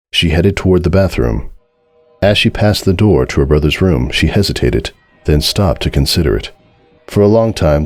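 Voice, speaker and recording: A man giving a dramatic scripted narration of a story in a measured, solemn way. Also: extremely low pitch, darkish tone. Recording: music behind the voice.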